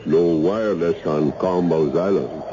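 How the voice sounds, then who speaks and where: A man's voice: deep voice, measured pace. An actor playing a big, sly, courteous island man in his forties with a Caribbean accent, in an old-time radio drama. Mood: cagey, matter-of-fact. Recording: muffled, noisy old radio recording.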